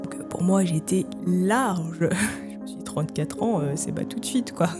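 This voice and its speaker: A woman in her thirties, a podcast guest telling her own story candidly, thinking aloud with frequent hesitant pauses. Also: on the low side, music in the background. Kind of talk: candid personal testimony.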